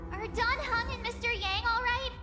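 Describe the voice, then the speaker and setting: A woman's voice: very high voice, moderate pace. A woman voicing an earnest, worried young heroine in a video game, with a girlish manner. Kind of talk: video game character acting. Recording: long reverb, music behind.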